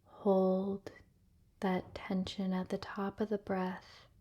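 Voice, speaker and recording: Soft, very slow, breathy woman guiding meditation. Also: medium pitch, completely flat delivery, lo-fi sound. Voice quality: soft, breathy, often near-whispered. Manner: gentle, soothing, unhurried, with long pauses. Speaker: meditation guide. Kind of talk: guided relaxation meditation, soft ASMR-like delivery.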